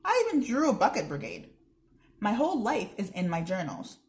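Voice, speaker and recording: A woman's voice: medium pitch, huge pitch swings, moderate pace. A young woman reading a children's book aloud, expressively, with chatty asides to her listeners. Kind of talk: read-aloud narration with casual asides.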